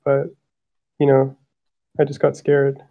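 Man behind a high voice changer. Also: completely flat delivery, vocal fry, narrow, tinny audio. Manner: hesitant, apologetic, halting with many fillers. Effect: high-pitched voice changer. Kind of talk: casual voice call. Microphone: voice-chat call.

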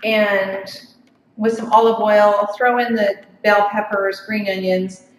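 A woman's voice: medium pitch, level tone, slow, slight background noise. Woman presenter, casual cooking demo, chatty with frequent fillers; echoey room, mic at a distance. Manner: chatty, many ums and restarts. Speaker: cooking demonstrator. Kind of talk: live cooking demonstration. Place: home office kitchen.

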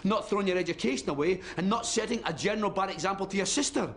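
A man's voice: mid-range voice, lively intonation, normal speed, darkish tone, lightly acted. An actor playing a stern, grieving middle-aged British father in a naturalistic drama, scolding and pleading. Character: stern, grieving, exasperated widowed father of teenagers. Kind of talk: naturalistic screen drama acting.

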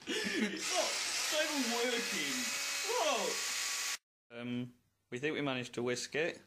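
Brief: young Midlands bloke hosting a home cooking vlog, playful, noisy kitchen recording. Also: male voice, high-pitched, sing-song, takes it slowly. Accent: English Midlands. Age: young adult. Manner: playful, bursts into laughter. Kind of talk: casual jokey cooking chat. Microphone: camera mic on the counter.

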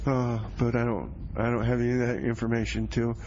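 A man's voice: mid-range voice, little pitch movement, a little fast, a little mellow, slight background noise, natural voice. Rambling radio DJ played by a man, muffled sound. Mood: flustered. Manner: rambling, repeats himself. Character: flustered, slacker male music-show DJ. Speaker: radio DJ host. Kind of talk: community radio comedy show banter.

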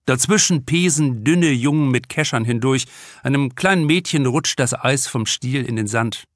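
A man's voice: medium pitch, no room sound, clean studio sound. A man narrating a novel as an audiobook, reading scripted prose as its author.

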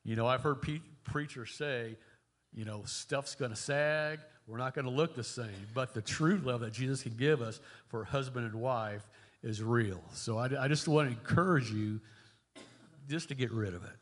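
A man speaking earnestly and a little haltingly into a handheld mic on a church stage. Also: medium pitch. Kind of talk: testimony. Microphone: handheld microphone.

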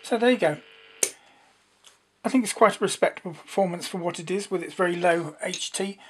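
A man's voice: high voice, brisk, slight background noise. A man presenting a casual, unscripted hands-on demonstration, explaining as he goes with some hesitancy. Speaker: presenter demonstrating a homemade radio.

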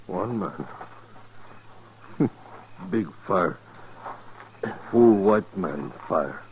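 A man's voice: low-pitched, expressive, very slow, darkish tone, a little hiss or hum, full character voice. A man playing a grim tracker scout who speaks few words in clipped broken English, in a 1950s radio western. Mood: terse. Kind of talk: 1950s radio drama acting. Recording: muffled, phone-like 1950s radio recording.

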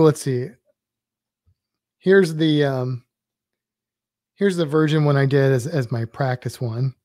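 A man's voice: mid-range voice, a little mellow, dry recording, studio quality. A man teaching drawing on a live stream, rambling loosely and thinking aloud while he sketches.

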